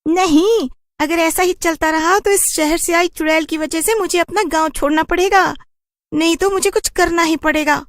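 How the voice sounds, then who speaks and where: Shrill, scolding village witch. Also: steady tone, normal speed, dry recording, clean studio sound. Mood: angry, determined. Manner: scolding, indignant exclamations. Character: cranky, territorial village witch, easily outraged.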